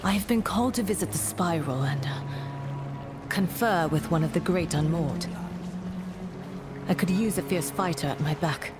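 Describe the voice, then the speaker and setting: An actress playing a dutiful, weary seafaring woman guide in a video game, measured and earnest, over music. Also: on the low side, natural voice. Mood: earnest, resolute. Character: dutiful, weary adult woman guide and spirit-rite sailor. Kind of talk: video game character acting. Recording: loud game music underneath.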